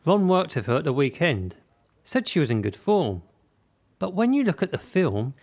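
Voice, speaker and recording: An actor playing a critical, controlling gymnastics coach in a radio drama, measured and condescending. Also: male voice, a little high, big pitch swings, darkish tone. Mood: disparaging.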